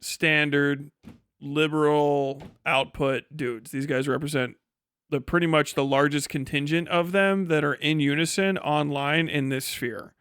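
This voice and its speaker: A man's voice: on the high side, fairly even intonation, a little slow, fairly bright tone, clean tone, dry recording, studio quality. A man giving casual, opinionated livestream commentary in a blunt, sarcastic and profane way. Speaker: livestream host reacting to a debate video.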